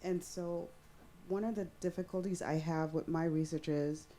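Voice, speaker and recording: Female audience member, loud and earnest, lower register, rambling question. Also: steady tone, normal speed. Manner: long-winded, earnest questioning. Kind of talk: question from the floor at a panel.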